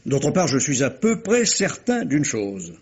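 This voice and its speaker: A man's voice: on the high side, big pitch swings, unhurried, breathy edge, heavily acted. A man playing a patient, methodical police inspector in 1950s French radio mystery style, probing and sometimes hesitant. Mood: cautious, explanatory. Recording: muffled vintage radio drama recording.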